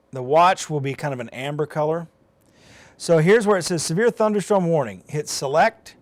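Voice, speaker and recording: Guy with kinda higher voice doing a live walkthrough, plain talk, explains each step as he goes. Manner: conversational, explains plainly while demonstrating. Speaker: presenter of a live how-to demonstration. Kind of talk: hands-on step-by-step tutorial, informal and unscripted.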